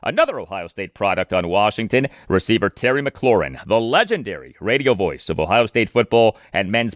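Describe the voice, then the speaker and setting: A man's voice: on the low side, no echo. Male American sports podcast host, dry sound. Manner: emphatic, deliberate announcer delivery with repeated phrases. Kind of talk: sports podcast monologue, reading listener mail.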